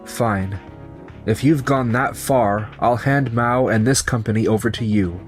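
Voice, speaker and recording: A man's voice: mid-range voice, unhurried, natural voice. Guy doing young office man for a manga dub, talking business, music behind him. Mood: calm, resigned. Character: betrayed young company founder, calm and resigned, and his coworkers. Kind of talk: manga dub acting and narration. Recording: music under the voice.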